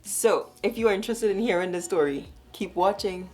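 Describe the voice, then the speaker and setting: A woman in her twenties from Trinidad and Tobago with a Trinidadian accent, talking quickly and animatedly as she tells a story on her vlog. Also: mid-range voice, darkish tone, faint music behind. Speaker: vlogger.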